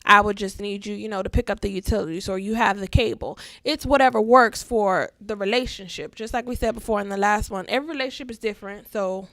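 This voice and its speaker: A woman's voice: mid-range voice, fast talker, few pauses, fairly bright tone, no room sound. A woman co-hosting a casual chat, explaining her opinions at length and acting out little quotes. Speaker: co-host.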